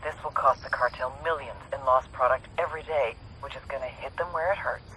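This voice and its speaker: An actress playing a brisk, businesslike intelligence handler giving a mission debrief through a radio filter in a game. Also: female voice, rumbling low, bright, forceful tone. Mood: approving, brisk. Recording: noisy military radio transmission.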